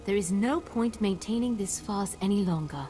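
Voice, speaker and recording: An actress playing a composed noblewoman diplomat in a video game, speaking formally and earnestly in measured phrases. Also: medium pitch, warm tone, close to an everyday voice. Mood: calm, grave. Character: composed, diplomatic noblewoman official negotiating calmly.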